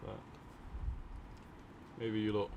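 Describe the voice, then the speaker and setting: A man from Europe talking in an unscripted, musing, unhurried ASMR ramble to his listeners. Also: lowish voice, monotone, muffled recording. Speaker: audio roleplay creator talking to listeners. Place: by an open window at a desk. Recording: clear city noise with distant traffic. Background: city ambience, vehicles, sirens.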